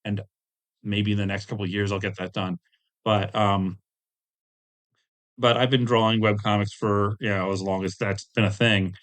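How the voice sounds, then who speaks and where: A man's voice: on the low side, fairly even intonation, moderate pace, pauses often, a bit bright. A man telling rambling, self-deprecating anecdotes with jokey asides as a guest in a casual interview. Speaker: guest, tabletop game designer and webcomic artist.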